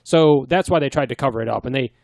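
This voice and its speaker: A man's voice: medium pitch, fast, vocal fry, darkish tone, no echo. A man co-hosting a casual podcast chat, joking around and rambling through his stories. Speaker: podcast co-host. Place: small home studio room.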